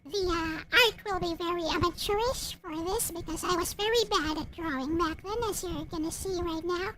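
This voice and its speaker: A woman's voice: very high-pitched, normal speed, fairly bright tone. A woman speaking in an informal, homemade way as she presents and reads a storybook-style visual novel. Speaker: visual novel creator and reader. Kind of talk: casual chat and storybook narration.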